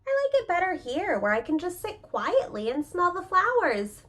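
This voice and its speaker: Woman voicing a high, gentle little bull. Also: wildly swooping pitch, moderate pace, exaggerated voice. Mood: quietly content. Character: gentle, contented little boy bull who likes quiet.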